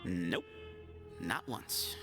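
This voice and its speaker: A man's voice: low-pitched, huge pitch swings, drawn-out words, exaggerated voice. An actor playing a sly young desert man in a video game, laid-back, teasing and evasive. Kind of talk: conversational video game voice acting. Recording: game music loud behind the voice.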